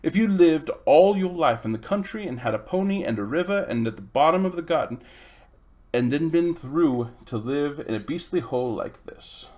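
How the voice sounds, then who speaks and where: A man reading a storybook, voicing a miserable, indignant young boy who blurts out his troubles in plaintive rushes. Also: fairly high pitch, lively intonation, darkish tone, lightly acted. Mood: miserable, defiant. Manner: wound-up, plaintive, talks in rushes.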